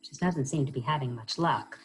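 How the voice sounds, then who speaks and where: A woman reading a children's story aloud as a library storyteller, expressive and often pausing to put questions to young listeners. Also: rumbling low, soft background music.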